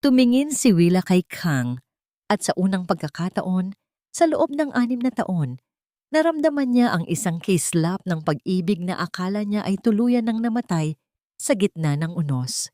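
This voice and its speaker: A woman's voice: mid-range voice, big pitch swings, moderate pace, dark tone, no room sound, studio quality. A woman with a Filipino accent reading a scripted romantic drama story as its narrator.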